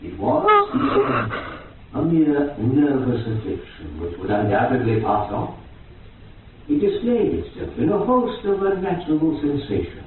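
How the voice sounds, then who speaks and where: A man's voice: on the high side, huge pitch swings, unhurried, exaggerated voice. An actor in a radio horror drama playing an eerie male voice on tape that recites a gothic tale. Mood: eerie, morbid. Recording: very echoey tape playback, tinny, faint noise.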